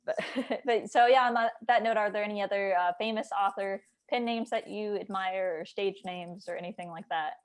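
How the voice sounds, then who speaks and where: A woman's voice: medium pitch, fairly even intonation, moderate pace. A woman chatting casually and informally, as a writer hosting a book discussion, with "like" sprinkled through her talk. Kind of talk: casual conversational book chat.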